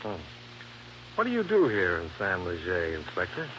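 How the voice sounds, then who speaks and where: An actor playing a tough, dry American insurance investigator in hard-boiled old-time radio style. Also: male voice, sits low, wildly swooping pitch, normal speed, fairly bright tone, heavily acted. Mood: dry, skeptical. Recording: muffled, hissy old radio recording.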